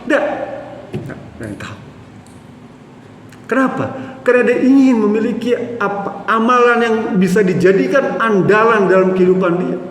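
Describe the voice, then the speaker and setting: A man's voice: high voice, a little hiss or hum. A man giving a sermon, telling stories and often addressing the listeners as "Pak". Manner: addresses the audience as "Pak", tells stories with acted quotes. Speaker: preacher. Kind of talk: Islamic sermon storytelling. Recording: echoey hall with faint ambience.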